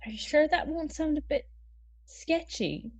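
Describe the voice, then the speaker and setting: A woman playing an uptight, indignant podcast co-host in a comedy audio drama, husky and exasperated. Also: high voice, animated, unhurried, darkish tone.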